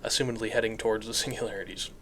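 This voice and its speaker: A man's voice: on the low side, fairly even intonation, rapid-fire, heavy background noise. A man giving casual scripted recap commentary as a video essayist, conversational and opinionated.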